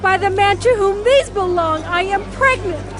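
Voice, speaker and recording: Very high-pitched pleading widow. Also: female voice, lively intonation, normal speed. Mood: desperate pleading. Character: accused pregnant widow pleading for her life. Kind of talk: dramatized scripture audio acting. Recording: music and crowd noise behind.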